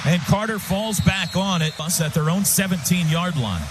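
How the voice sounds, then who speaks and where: High-pitched male football play-by-play announcer. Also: moderate pace, noisy background. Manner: excitable, urgent, punchy calls that swell to shouts. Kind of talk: live football radio commentary in short clipped phrases.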